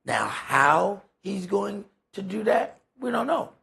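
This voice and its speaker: A man preaches in a very raspy, deeply creaky voice. His pitch sits rather high for a man. He talks in a folksy, conversational way, leaning hard on key points and putting questions to his listeners. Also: animated, slow pace, sharp tone. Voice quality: gravelly, husky. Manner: emphatic, rhetorical questions, folksy phrasing. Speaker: preacher leading a Bible study. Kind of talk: conversational sermon with scripture commentary.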